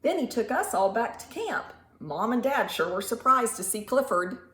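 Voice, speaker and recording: A woman reading a picture book aloud to young children in an animated, teacherly way, pausing to ask simple questions and stretching words for emphasis. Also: on the high side. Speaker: children's storytime reader and teacher. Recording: roomy echo with faint background noise.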